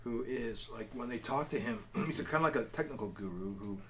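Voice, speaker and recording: A man's voice: mid-range voice, a little fast, fairly bright tone, slight background noise. A man co-hosting a casual review chat, explaining things in a loosely worded way with asides. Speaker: co-host of a comic review show.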